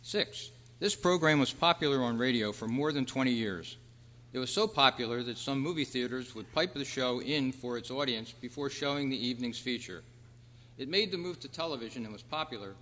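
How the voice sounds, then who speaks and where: Male quiz host, mid-pitched voice, reading questions aloud at a club meeting, heard with long hall reverb. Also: lively intonation, brisk, a bit bright. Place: indoor meeting room at a convention.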